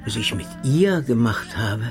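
An actor playing a cold, smug male secret-service agent in a radio play. He speaks calmly and mockingly, sometimes dropping to a hushed, sinister tone. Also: medium pitch, a little slow, over music, natural voice. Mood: coldly mocking. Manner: calm, mocking, sinister, drops to hushed tones.

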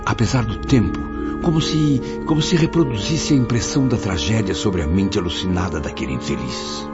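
A deep-voiced, grave and compassionate spirit played by a male actor recounts his memories in a Brazilian radio drama. His delivery is solemn and very expressive, over music in a dull recording. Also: fairly quick, close to an everyday voice. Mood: solemn, moved. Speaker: narrator character in a radio drama. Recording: dull lo-fi audio under music. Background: background music.

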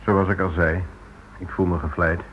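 An actor playing a cool, sardonic convict in a Dutch radio play, talking with wry, mocking politeness. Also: male voice, low-pitched, level tone, moderate pace, a little hiss or hum, lightly acted. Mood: coolly mocking. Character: cool, sardonic male convict receiving a prison visitor. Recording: muffled old radio play recording.

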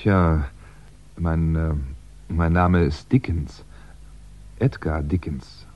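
A very deep male voice plays a composed, wry crime writer in a 1960s radio play. He recounts things in a measured, conversational way, with small hesitant asides. The recording is dull and limited in range. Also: steady tone. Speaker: actor playing narrator and lead.

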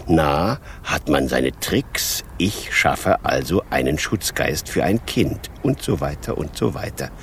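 A man acting a sly, mockingly courteous devil in a radio play, with drawn-out, theatrical politeness. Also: extremely low pitch, lively intonation, gravelly, slight background noise, heavily acted. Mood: sly, explaining.

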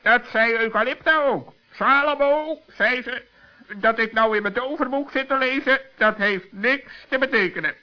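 A man's voice: extremely high pitch, moderate pace. A man voicing a shrewd, self-satisfied raven in a children's radio play, talking in a dry, matter-of-fact way. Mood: dry, reporting. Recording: dull lo-fi old radio recording.

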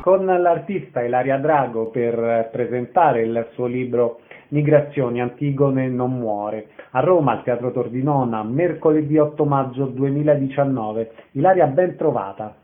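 A man's voice: medium pitch, a little slow, faint music behind. A man hosting a cultural radio programme, presenting guests and asking questions over a narrow-band radio sound. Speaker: radio host and interviewer.

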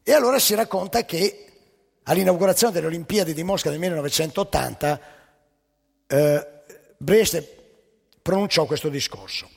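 A man telling satirical anecdotes as a stage monologue, with dramatic pauses and emphatic delivery. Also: on the high side, creaky, slightly rough. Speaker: stage storyteller. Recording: live theatre hall with long reverb.

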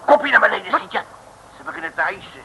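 An actor playing a bearded, boastful, grumbling detective in a comic Dutch radio drama from around 1960, blustering in colloquial hesitant speech. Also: male voice, high voice, animated, quick pace, vocal fry. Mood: disgusted. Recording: noisy old radio recording.

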